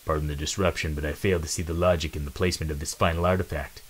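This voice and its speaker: A stealthy mouse strategist from an adventure storybook, acted by a man, low in pitch and steady. He is formal and serious, laying out logic with no trace of humor. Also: fast talker, breathy edge. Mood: analytical.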